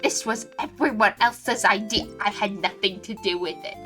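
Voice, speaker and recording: A woman's voice: medium pitch, normal speed, bright, forceful tone, faint music behind, natural voice. A woman voicing a touchy, irritable male pirate cook who protests defensively in an anime game. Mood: indignant, defensive. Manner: indignant, exclaiming.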